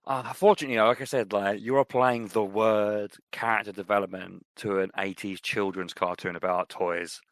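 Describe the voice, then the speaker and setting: A man's voice: lowish voice, fairly even intonation, a little fast, hardly any pauses, no room sound. A man co-hosting a podcast in casual chat, opinionated, with many restarts and fillers. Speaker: podcast co-host.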